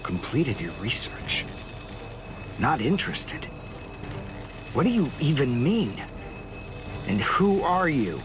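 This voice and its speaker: An actor playing an earnest, intense and protective young warrior in an anime game. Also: male voice, on the high side, very animated, slow, long pauses. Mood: indignant. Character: earnest, analytical young male archer-warrior, protective and indignant. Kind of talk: anime video game voice acting. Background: music.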